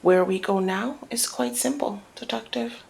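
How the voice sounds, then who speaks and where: A woman playing a flirty, cunning female mob boss in an intimate ASMR roleplay, speaking in a teasing, deliberate murmur that often slips toward a whisper. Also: a little high, a little mellow. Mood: teasing, confiding. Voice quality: breathy creaky murmur, often dropping to whisper. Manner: teasing, deliberate, drawn-out phrasing.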